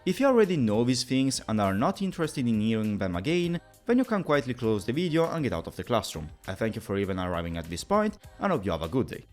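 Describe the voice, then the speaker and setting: Guy doing a scripted explainer lecture, pitch moves around a lot, bit wry, faint music behind him. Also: big pitch swings, quick pace, few pauses. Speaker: presenter of an introductory explainer video. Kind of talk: scripted lecture-style narration.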